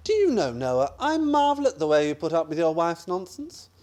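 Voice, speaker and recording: A wry, scheming son-in-law in a comic radio play, acted out by a performer. The delivery is theatrical and exclamatory, leaping up and down in pitch with sardonic relish. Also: very animated, normal speed, darkish tone, close to an everyday voice. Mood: jovial. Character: wry, scheming son-in-law who scorns his mother-in-law. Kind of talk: stage-comedy radio play acting.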